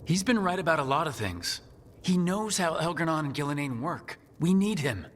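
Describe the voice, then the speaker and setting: A man's voice: on the high side, sing-song, normal speed, long reverb tail. An actor playing a pragmatic, resolute male leader of adventurers in a video game, talking plainly.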